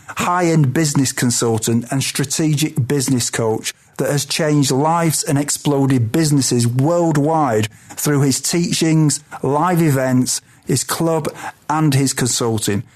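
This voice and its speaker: A British man hosting a podcast, introducing his guest in a brisk, upbeat presenter style. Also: on the high side, darkish tone. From United Kingdom. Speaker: podcast host.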